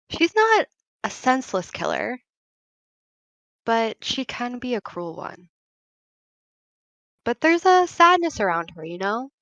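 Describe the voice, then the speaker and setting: A worldly, wry spacefarer in an audio drama, played by a woman. Her medium-pitched voice has a gravelly creak, and she tells things frankly and reflectively. The sound is dry and close. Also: fairly bright tone, dry recording, exaggerated voice, rough recording. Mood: thoughtful. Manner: plainspoken, storytelling.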